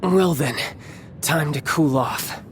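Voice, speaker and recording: A man playing a confident, courteous young warrior rival in a video game, speaking in a smug, measured, theatrical way. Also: a little high, slight background noise. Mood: smug, composed.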